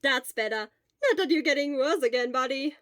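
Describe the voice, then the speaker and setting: A woman voicing a loud, good-hearted, teasing teenage schoolboy, brash and exclamatory, in an amateur audio story. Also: high voice, animated, normal speed, dry recording, studio quality, voice pushed up. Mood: friendly. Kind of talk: amateur audio fan-fiction acting.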